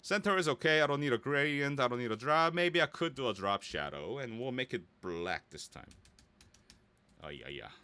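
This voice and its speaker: A man giving a casual, easygoing software tutorial, thinking aloud and muttering small asides as he works. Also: mid-range voice, animated, moderate pace, clean tone. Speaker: voice actor giving a website-building tutorial.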